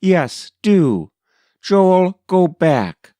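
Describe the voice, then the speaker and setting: A man's voice: high-pitched, big pitch swings, extremely slow, mellow, no room sound, clean studio sound, pitched above the performer's own voice, heavily acted. A man voicing a frightened, headstrong rural wife in a dramatic poetry reading, urgent, pleading and insistent. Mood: tense, calling out.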